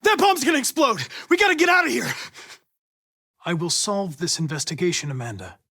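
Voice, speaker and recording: A man's voice: high voice, sing-song, brisk, bright, forceful tone, no echo, studio quality, full character voice. A man playing a polite, determined young android police investigator in a video game, with formal, precise, controlled diction. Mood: urgent, loud.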